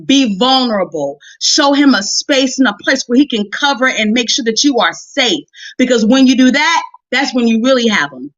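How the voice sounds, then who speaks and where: A woman preacher with a high-pitched voice and a dry, slightly dull recording. She delivers a motivational Bible message in a folksy, conversational way, addressing women directly. She leans on repeated phrases to drive each point home. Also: animated, moderate pace, fairly bright tone, dry recording. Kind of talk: motivational sermon on a live stream. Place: live video stream.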